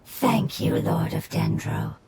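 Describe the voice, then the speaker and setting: An actor voicing a gentle, grateful ancient nature spirit with a gravelly, whispery voice in a video game. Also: slow, noisy background, lightly acted. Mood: solemn. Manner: solemn, earnest. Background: game music.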